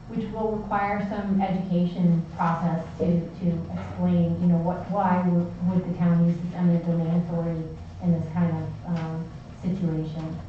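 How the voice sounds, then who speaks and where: A woman with a Maine accent, a town official, giving a measured formal report to a selectboard, with many ums and restarts. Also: lowish voice, a little hiss or hum. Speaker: town official presenting to the board. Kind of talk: formal public meeting report. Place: town office meeting room. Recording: echoey, distant, dull municipal meeting recording.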